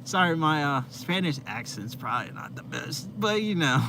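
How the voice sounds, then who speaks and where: A man's voice: on the high side, sing-song, normal speed. A young man hosting a casual live stream from inside his van, chatting with viewers in a laid-back, rambling way with lots of fillers. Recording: noisy vehicle ambience.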